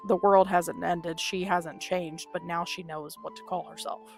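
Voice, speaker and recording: A woman's voice: on the low side, little pitch movement, measured pace, gravelly. A woman live-streaming a game, talking casually and candidly. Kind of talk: casual live-stream chat over gameplay. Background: video game music.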